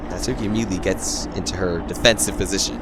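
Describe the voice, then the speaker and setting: A man's voice: sits low, rapid-fire. A man casually reading a visual novel aloud in an informal way, with muttered asides. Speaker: reaction video host reading a visual novel mod aloud. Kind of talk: casual read-aloud of game narration and dialogue. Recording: loud steady background noise.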